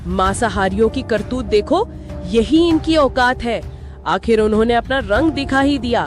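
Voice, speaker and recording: A woman voicing a cunning female fox in an animated moral story, theatrical and persuasive as she plots. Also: a little high, moderate pace, faint music behind, close to an everyday voice. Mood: indignant. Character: cunning scheming female fox, sly and falsely innocent.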